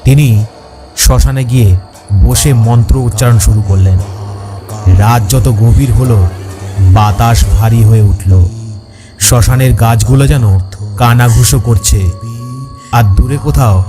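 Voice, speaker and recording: A man's voice: medium pitch, measured pace, pauses often, music in the background. A man reading scripted ghost story narration as a storyteller.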